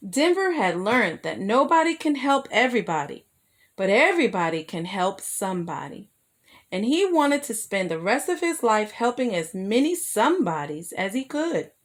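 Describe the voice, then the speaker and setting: Woman, mid-pitched voice, children's storyteller, expressive read-aloud, addresses young listeners. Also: normal speed.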